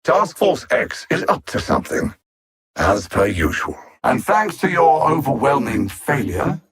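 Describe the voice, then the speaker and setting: A man's voice: fairly high pitch, big pitch swings, unhurried, crowd noise. A man playing a pompous alien machine-intellect conqueror in a video game, coldly sardonic and formal, with theatrical menace. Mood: haughty, threatening. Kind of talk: scripted video game villain dialogue.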